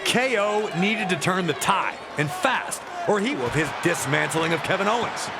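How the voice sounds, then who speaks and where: Man, high voice, wrestling commentator, dramatic documentary narration, emphatic delivery, heavy crowd noise behind. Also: animated, moderate pace. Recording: loud crowd roar and arena noise. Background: roaring arena crowd.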